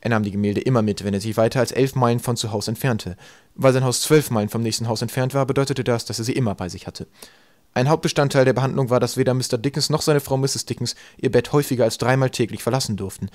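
A man reads a comic children's audiobook at a brisk clip. His mid-pitched voice delivers the absurdities deadpan, as dry scripted narration. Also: no room sound.

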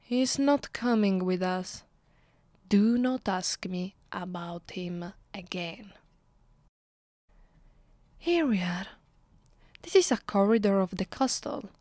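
A woman with an Italian accent playing an imperious, mocking ancient vampire mistress in an ASMR roleplay, speaking deliberately and often dropping into a whisper. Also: mid-range voice, lively intonation, a little mellow, no echo. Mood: condescending. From Italy. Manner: slow, haughty, deliberate with pauses, often breathy whispering. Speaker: ASMR voice actress. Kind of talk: ASMR roleplay, slow intimate delivery. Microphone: close, dry microphone.

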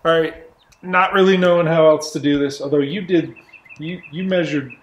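Young male host, casual shop talk, thinks out loud, roomy garage sound. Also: a little high, fairly even intonation, moderate pace. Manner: thinking aloud, restarts often. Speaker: channel host. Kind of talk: casual chat in a workshop. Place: open garage workshop.

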